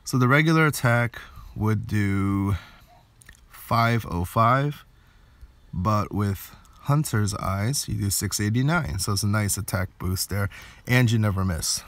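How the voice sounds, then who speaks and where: A man with a fairly low voice talks through gameplay in a casual way. He thinks aloud with some hesitation, recorded close and dry with little room sound. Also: a little slow, a bit bright, no room sound. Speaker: game video creator.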